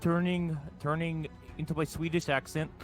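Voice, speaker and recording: A man's voice: on the high side, flat delivery, faint music behind. A man playing a tabletop game, chatting with a put-on Russian accent and giggling often. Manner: slow, giggly, drawn-out delivery. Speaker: player. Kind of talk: casual chat with a joke Russian accent.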